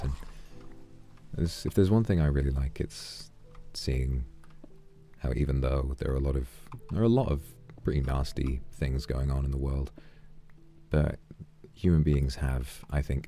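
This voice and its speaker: A man with an extremely low voice, dark and gravelly at the edges. He chats calmly and reflectively while streaming a game, pausing now and then to thank viewers for donations. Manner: calm, reflective, earnest. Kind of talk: casual livestream chat while gaming.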